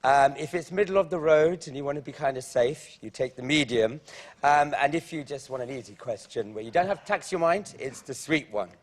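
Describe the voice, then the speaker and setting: A man with a voice a little higher than average co-hosts a live show. He chats casually with guests on stage as he interviews them. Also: expressive, a little fast. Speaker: co-host. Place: on stage in a radio theatre.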